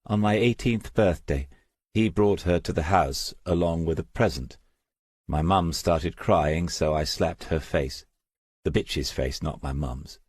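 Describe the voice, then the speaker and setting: A man's voice: deep voice, a little slow, a little mellow, slightly rough, no room sound, clean studio sound. A man voicing a resolute, bitter young mother in an audiobook, frank and plain-spoken. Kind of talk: audiobook character dialogue.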